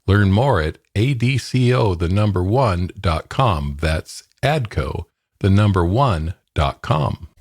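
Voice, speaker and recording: Male announcer, very low pitch, husky, scripted sponsor read, dry studio. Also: lively intonation, slow pace, a little mellow, no echo. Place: dry studio, close mic.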